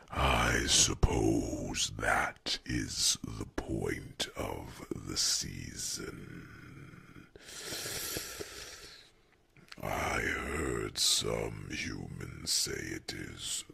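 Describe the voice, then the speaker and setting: A man voicing a gentle, wise, protective dragon in an ASMR fantasy roleplay, speaking deliberately in a gravelly, breathy half-whisper with musing hums. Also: rumbling low, exaggerated voice. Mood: musing, content.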